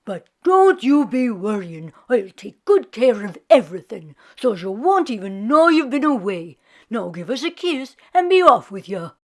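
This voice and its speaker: A lazy Irish husband from a storybook, played by a woman reader. He blusters and sweet-talks drowsily, with folksy, drawn-out excuses. The pitch swings in a very animated way. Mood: sweet-talking. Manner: folksy, drawn-out, self-justifying grumbling.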